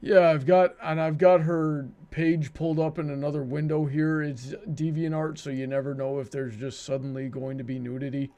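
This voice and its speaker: A man hosting a livestream in casual banter, often halting as he thinks aloud. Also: fairly high pitch, little pitch movement, mellow. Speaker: livestream host.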